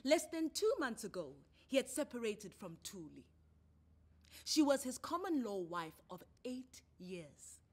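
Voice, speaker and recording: A woman with a South African accent reading a short story in an expressive, theatrical way. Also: on the high side, measured pace. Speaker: story reader. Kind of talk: dramatic short story narration.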